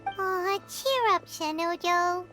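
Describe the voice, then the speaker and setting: Squeaky, chirpy little fairy companion. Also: female voice, slow pace, exaggerated voice. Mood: cheery. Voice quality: squeaky, childlike. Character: tiny chirpy childlike fairy companion, blunt and excitable. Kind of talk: English video game voice acting. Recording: loud music over the voice.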